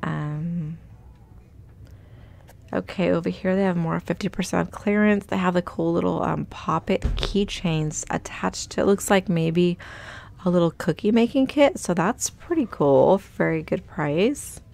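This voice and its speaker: Chatty woman with a gravelly voice. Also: fairly low pitch, unhurried, darkish tone. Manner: chatty, upbeat, reads out prices. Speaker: shopping vlogger. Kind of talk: casual shop-with-me narration. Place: inside a large retail store.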